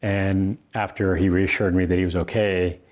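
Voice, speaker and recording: Deep-voiced man telling a personal story. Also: little pitch movement, darkish tone. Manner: earnest, conversational, with thoughtful pauses. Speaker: author reading his own book.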